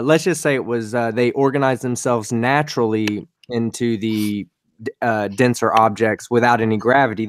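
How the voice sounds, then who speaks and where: A man reasoning aloud hesitantly in a casual online voice chat. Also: medium pitch. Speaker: call guest.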